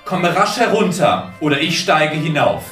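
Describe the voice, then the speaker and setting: An actor playing a cruel, stone-hearted knight and husband in a fairy tale, commanding, threatening and deliberate. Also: male voice, fairly high pitch, big pitch swings, fairly bright tone, soft background music. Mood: shouting, impatient. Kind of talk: dramatised fairy tale acting.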